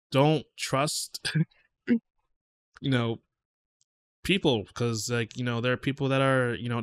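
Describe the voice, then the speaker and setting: Reflective man chatting in a halting flow. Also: medium pitch, a bit bright. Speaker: music reaction host. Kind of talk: casual reaction commentary. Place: small indoor home studio. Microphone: close desk microphone.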